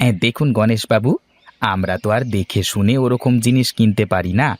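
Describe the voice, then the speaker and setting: A man's voice: on the low side, moderate pace, close to an everyday voice. Man as a polite, businesslike produce trader. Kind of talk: cartoon dialogue acting.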